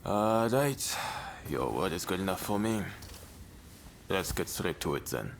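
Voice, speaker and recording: Deep-voiced, ruthless samurai rebel. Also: male voice, sing-song, measured pace, a little hiss or hum, exaggerated voice. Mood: guarded, businesslike. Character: stern anti-foreigner samurai rebel, hard and ruthless. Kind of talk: video game cutscene acting.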